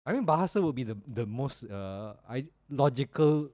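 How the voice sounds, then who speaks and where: A man's voice: mid-range voice, animated, normal speed, darkish tone, dry recording. Malaysian man, dry close podcast sound. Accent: Malaysian English. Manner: colloquial, sprinkles Malaysian particles. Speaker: podcast co-host. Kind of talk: casual chat.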